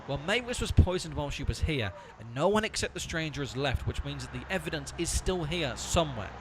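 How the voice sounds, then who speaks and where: Man playing an exasperated, fast-talking amateur investigator. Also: a little high, expressive, background noise, close to an everyday voice. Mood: brisk, dry. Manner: incredulous, scolding, sarcastic. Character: exasperated, righteous male investigator, dry straight man. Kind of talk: amateur audio comedy drama.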